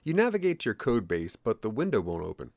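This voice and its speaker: Animated male narrator with a lowish voice. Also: fast talker. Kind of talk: second-person scripted drama narration.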